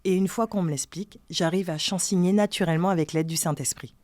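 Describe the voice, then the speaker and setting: A woman speaking calmly and earnestly in a documentary interview. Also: on the low side, fast talker, dry recording. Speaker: sign-language singer interviewed.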